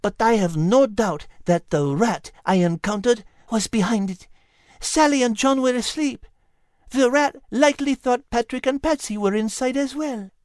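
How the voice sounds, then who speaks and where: A man's voice: extremely high pitch, moderate pace, darkish tone, no echo, clean studio sound, voice pushed up. A man voicing an earnest, caring female animal character in a storybook narration. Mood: grave, accusing.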